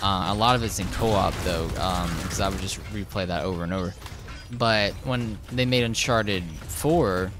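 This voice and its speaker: A young man, slightly hoarse, casually commenting over his gameplay, rambling with lots of fillers and restarts. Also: deep voice, moderate pace, noisy background. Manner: rambling, hesitant, frequent filler words and restarts. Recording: game gunfire loud behind voice. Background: shooter game sounds and gunfire.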